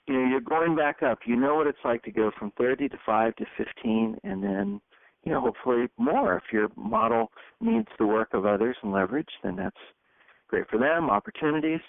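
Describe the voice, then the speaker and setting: A man's voice: a little high, animated, moderate pace, creaky, dry recording. A man facilitating a group coaching call, speaking in short, emphatic bursts as a leadership coach. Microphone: telephone. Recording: tinny phone conference line.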